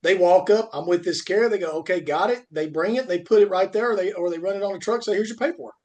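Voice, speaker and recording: A man's voice: sits high, fast, a little mellow. A man in a casual industry discussion as podcast co-host, talking in a rambling way and repeating points for emphasis.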